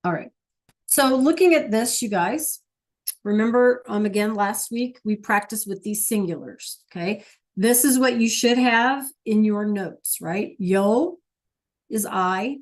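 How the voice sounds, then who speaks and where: A woman's voice: mid-range voice, unhurried. A woman teaching an online Spanish class, patient and checking in with her students often.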